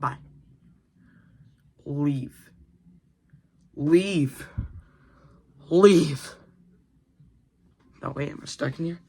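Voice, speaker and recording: A man's voice: on the high side, extremely slow, creaky, pauses often, dark tone. A young man hosting a gaming video with casual, exasperated, repetitive reaction chat.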